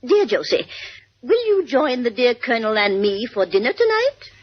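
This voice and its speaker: An actress playing a wealthy older society hostess, gushing, teasing and imperious, in a theatrical 1950s radio drama style. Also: female voice, on the high side, sing-song. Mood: commanding, sly. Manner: theatrical, drawn-out endearments, chuckling. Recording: muffled 1950s radio broadcast recording.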